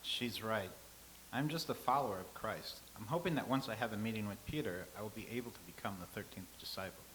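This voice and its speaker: A man in a staged reading playing a humble, earnest follower of Christ from Nazareth, modest and measured. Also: lowish voice, fairly bright tone, natural voice. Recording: hissy, clearly noisy recording.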